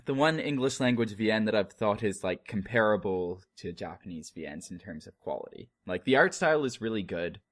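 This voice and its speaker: Lively, low-pitched man from Canada. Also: fairly quick, hardly any pauses, no room sound. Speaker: podcast guest. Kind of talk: casual review chat.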